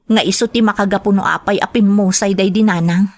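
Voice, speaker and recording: A woman's voice: mid-range voice, fairly even intonation, fairly quick, darkish tone, natural voice. A woman playing a hurt, resentful young adult elder sister in a radio drama, serious and emotional. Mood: bitter, resentful.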